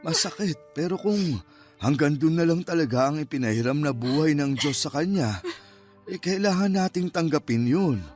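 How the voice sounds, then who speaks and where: An actor playing a steady elderly grandfather with a measured, consoling way of talking, in a Filipino-accented Tagalog radio drama. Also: a little high, faint music behind, close to an everyday voice. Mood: consoling, pained.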